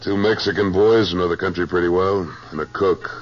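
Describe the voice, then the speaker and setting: An actor in a 1950s radio western playing a tough American trail boss, clipped, cool and authoritative. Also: male voice, sits low, fairly even intonation, fairly quick, soft background music, natural voice. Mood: businesslike, firm. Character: tough, terse, commanding male trail boss. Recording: muffled old radio recording, faint hum.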